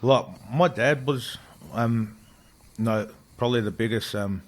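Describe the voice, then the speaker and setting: Soft-spoken young man from New Zealand. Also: medium pitch, unhurried. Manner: soft-spoken, hesitant with many ums. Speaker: guest, rugby league player. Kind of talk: casual interview chat.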